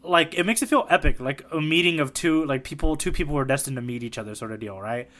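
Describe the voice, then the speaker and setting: A man's voice: on the high side, fast talker, fairly bright tone. A man co-hosting a podcast in casual conversation, eager and keeping his partner in the loop with frequent "right?" check-ins. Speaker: podcast co-host. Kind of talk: casual manga discussion chat.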